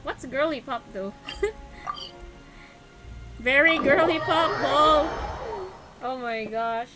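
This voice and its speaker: A woman's voice: high-pitched, drawn-out words. A woman with a Filipino accent chatting casually with viewers as she hosts a game livestream, switching between English and Tagalog. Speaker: livestream host playing a video game. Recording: game music playing loudly behind. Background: loud video game music.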